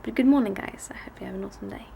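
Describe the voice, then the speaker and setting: A woman's voice: racing, vocal fry, mellow, in a whisper. A woman in her thirties with a bad cold, chatting casually and a bit tiredly to the camera from her living room at home. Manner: rambling, tired, low-energy talk with restarts. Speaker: daily vlogger. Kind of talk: casual vlog chat. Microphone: camera on a desk in front of her.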